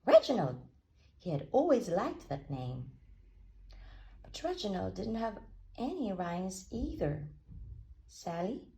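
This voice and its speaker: Slow, expressive woman storyteller, slightly creaky. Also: mid-range voice. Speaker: read-aloud storyteller. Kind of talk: expressive children's storybook narration.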